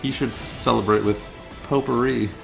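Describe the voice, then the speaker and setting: A man's voice: mid-range voice, fairly even intonation, normal speed, vocal fry, rough recording. A man co-hosting a gaming video with casual, easygoing commentary, riffing along with the jokes. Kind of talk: casual gameplay commentary banter. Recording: loud game music behind the voice. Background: video game music.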